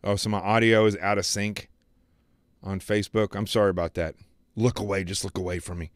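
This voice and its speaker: A man hosting a political commentary livestream, preaching emphatically and repeating phrases, his voice going hoarse. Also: on the low side, fairly even intonation, brisk, dry recording, clean studio sound. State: losing his voice. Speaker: show host.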